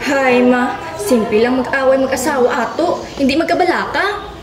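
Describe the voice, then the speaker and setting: A woman's voice: high voice, moderate pace, close to an everyday voice. A woman playing a young battered wife, weary, pleading and defensive, in an amateur radio drama with a Western Visayan accent. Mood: dismissive. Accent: Western Visayan Philippine. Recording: roomy echoing recording with music behind. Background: music.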